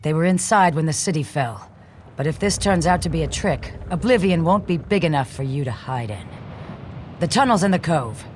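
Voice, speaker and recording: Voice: mid-range voice, expressive, normal speed, slight background noise, lightly acted. An actor voicing a tough smuggler captain. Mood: gruff. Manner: threatening, then grudgingly cooperative. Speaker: game character.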